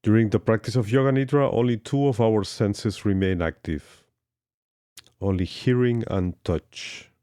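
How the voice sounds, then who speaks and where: Very deep, slow male meditation guide. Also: mellow, no room sound, clean studio sound. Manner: slow, soothing instructions with long pauses. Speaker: yoga instructor. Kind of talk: guided yoga nidra meditation.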